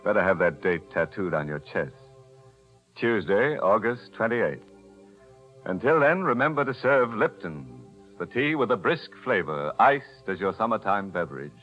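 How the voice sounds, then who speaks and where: Creepy wisecracking american radio horror host, really low voice, muffled 40s sound w some music. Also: male voice, normal speed. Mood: sly, macabre. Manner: sardonic, deadpan ghoulish humor. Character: sardonic, macabre, chuckling mystery-show host. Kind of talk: 1940s radio acting.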